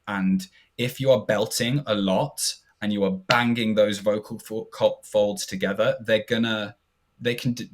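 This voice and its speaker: A man with a fairly low voice co-hosts a podcast. He explains in a chatty way, stopping for hesitant fillers as he goes. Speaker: podcast co-host. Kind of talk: casual podcast commentary.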